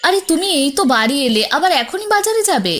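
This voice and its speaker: Woman acting a quick-tempered cartoon mother, high voice, rapid scolding, exasperated. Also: very animated. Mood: irritated. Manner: scolding, complaining.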